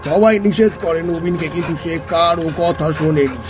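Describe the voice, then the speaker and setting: An actor playing a grave elder brother who recounts an ominous village tale with deliberate, dramatic pauses in a horror audio drama. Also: male voice, a little high, over music, natural voice. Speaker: storyteller character.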